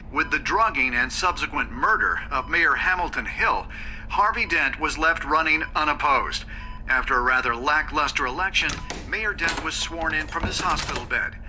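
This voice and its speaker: Very bright, forceful male newsreader. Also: a little high, moderate pace, a little hiss or hum, rough recording. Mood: serious, informative. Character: male television newsreader, brisk and serious. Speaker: news anchor. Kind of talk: scripted news report.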